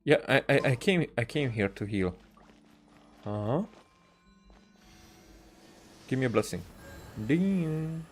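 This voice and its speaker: A man giving casual, rambling game commentary as a streamer, speaking haltingly and often repeating and restarting his phrases. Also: medium pitch, expressive, soft background music. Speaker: livestreamer playing a game.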